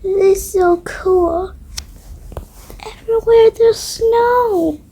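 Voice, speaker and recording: A woman's voice: very high-pitched, extremely slow, mellow. A female video creator improvising a roleplay aloud, often dropping into hesitant, half-whispered asides to herself. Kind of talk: improvised game roleplay narration. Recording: faint background noise.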